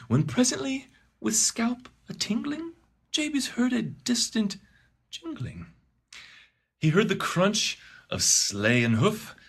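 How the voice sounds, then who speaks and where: A man's voice: mid-range voice, fairly bright tone. A man narrating a rhyming storybook poem in a dramatic, theatrical manner. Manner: dramatic, swooping delivery.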